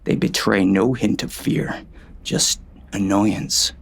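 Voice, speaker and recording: A man playing a gruff, bitter gunslinger who tells his own story in terse, folksy western talk, as dramatic audiobook narration. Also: on the low side, slow pace, full character voice. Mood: bitter, tense. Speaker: audiobook narrator and lead actor.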